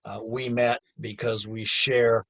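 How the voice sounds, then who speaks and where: A man's voice: medium pitch, little pitch movement, slow pace, a bit bright. A man giving closing remarks on a webinar over a video call, earnest and growing emphatic. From United States. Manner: earnest, gets emphatic and raised. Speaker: community organizer.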